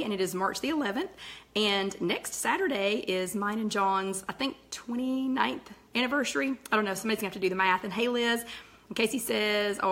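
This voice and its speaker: A middle-aged woman, her voice raspy and nearly gone, hosting a live chat and greeting viewers by name between thoughts. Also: medium pitch, a bit bright. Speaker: host of a live weight-loss chat.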